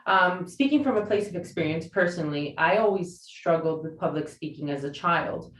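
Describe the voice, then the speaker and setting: A woman's voice: lowish voice, lively intonation, normal speed. A woman podcast host whose first language is Spanish, speaking reflectively and casually with frequent fillers. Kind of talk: conversational interview. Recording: roomy sound from a distance.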